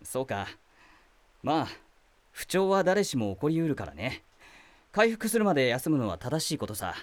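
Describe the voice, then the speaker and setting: A man playing a calm, formal high school teacher. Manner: measured, gently lecturing. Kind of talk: amateur voice drama.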